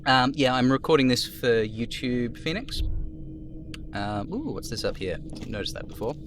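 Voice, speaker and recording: Man streaming a game, lively intonation. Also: medium pitch, normal speed, slight background noise. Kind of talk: live game stream, reading and narrating dialogue.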